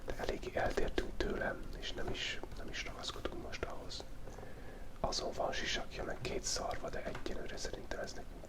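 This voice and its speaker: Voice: very high-pitched, monotone. An ASMR channel host whispering a playful, rambling commentary while drawing, thinking aloud and often restarting phrases. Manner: soft unvoiced whisper throughout.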